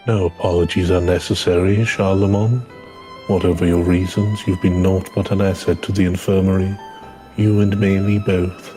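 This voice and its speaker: Deep, bright-voiced formal knight played by a man. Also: little pitch movement, soft background music, natural voice. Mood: reassuring. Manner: formal, measured, polite. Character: calm, gracious knight in charge of an infirmary.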